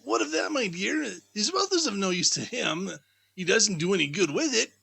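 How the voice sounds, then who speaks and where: A man voicing a cheerful, good-natured young Victorian gentleman in a chatty, animated way for a storybook. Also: high voice, normal speed, creaky, slightly rough, voice pushed up, exaggerated voice. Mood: amused.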